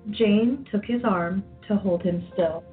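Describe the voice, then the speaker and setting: Slow-paced woman narrator. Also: mid-range voice. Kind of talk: scripted story narration. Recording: dull lo-fi, music behind.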